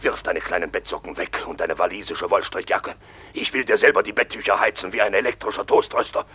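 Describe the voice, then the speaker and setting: A man playing a lovesick draper, declaiming his passion grandly in a husky, breathy voice in a radio play. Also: low-pitched, steady tone, brisk, bright, forceful tone, full character voice. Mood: ardent, lovesick. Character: lovesick draper, pompously passionate dream lover. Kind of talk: literary radio play acting.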